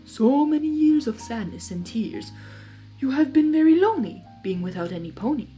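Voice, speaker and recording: A woman voicing a wise, cryptic female zebra seer in a storybook reading, measured and knowing. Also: high-pitched, very animated, dark tone, faint music behind, voice pushed up, rough recording. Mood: mystical. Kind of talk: dramatized fanfiction storytelling.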